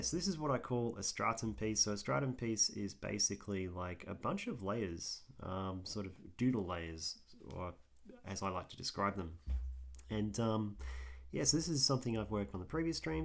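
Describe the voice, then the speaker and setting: Hoarse aussie guy rambling on a drawing stream, lowish voice, lots of ums. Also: expressive, moderate pace. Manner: rambling, frequent ums, thinks aloud while working. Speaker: artist streaming his drawing. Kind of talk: casual livestream commentary while drawing.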